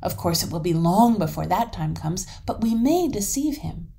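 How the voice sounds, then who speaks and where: A woman voicing a cunning old female troll, an eager schemer in a storybook, breathy and conspiratorial. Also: medium pitch, lively intonation, normal speed, dark tone. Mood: scheming.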